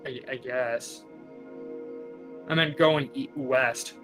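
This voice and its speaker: A man's voice: mid-range voice, steady tone, slow pace, a bit bright. A man playing a tabletop roleplaying game, chatting casually and improvising in a hesitant, apologetic way. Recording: music behind the voice. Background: music.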